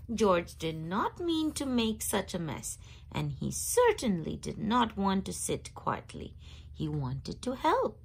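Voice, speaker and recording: A woman's voice: medium pitch, gravelly, husky. A woman reading a children's story aloud expressively, drawing out key words for young listeners. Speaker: storybook reader.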